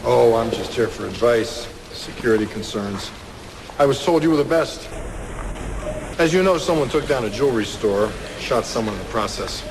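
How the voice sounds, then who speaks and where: A man's voice: mid-range voice, expressive, normal speed, background noise, lightly acted. An actor playing a shrewd, wry police detective in a crime audio drama, dry and understated as he probes. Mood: dry needling.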